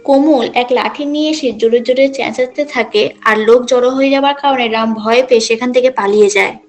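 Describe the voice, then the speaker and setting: A woman's voice: on the high side, brisk, faint music behind. A woman storyteller reading scripted narration for a cartoon folk tale.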